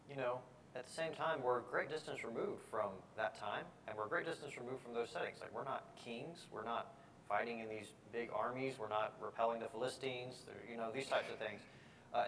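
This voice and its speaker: Young man leading a Bible class. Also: medium pitch. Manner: relaxed, many fillers like "yeah" and "you know". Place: church fellowship hall, indoors. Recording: distant mic, faint room noise.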